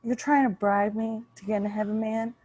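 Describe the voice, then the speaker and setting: A woman playing a weary, exasperated bouncer at heaven's gate in improvised comedy, curt and deadpan. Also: mid-range voice, brisk, dull audio, lightly acted.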